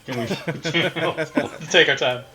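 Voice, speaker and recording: A man's voice: on the high side, drawn-out words, crowd noise. A man talking casually as a player in a tabletop roleplaying game.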